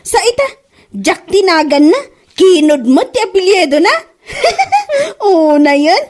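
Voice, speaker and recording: Mocking, incredulous female cousin, woman actor, comedy drama, high pitch, very lively intonation. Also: takes it slowly, heavily acted. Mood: mocking, amused.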